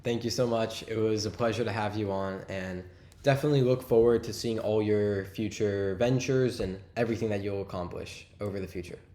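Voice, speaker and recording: A young man co-hosting a podcast, asking questions with relaxed, casual phrasing. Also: lowish voice, brisk. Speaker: podcast co-host. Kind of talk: interview questions. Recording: roomy echo.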